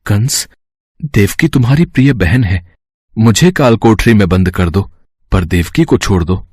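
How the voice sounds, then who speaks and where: A noble, devout captive father from a mythological tale, acted by a man, with a low, husky voice. He speaks with earnest, anguished drama in a dry recording. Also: unhurried, a little mellow, no echo. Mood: pleading. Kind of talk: Hindi mythological audio story acting.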